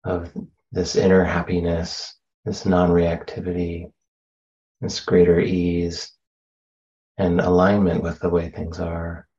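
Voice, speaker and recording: Slow, low-voiced man guiding meditation. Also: completely flat delivery, muffled recording. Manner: gentle, unhurried, with long pauses between phrases. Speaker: meditation teacher. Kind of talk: guided meditation.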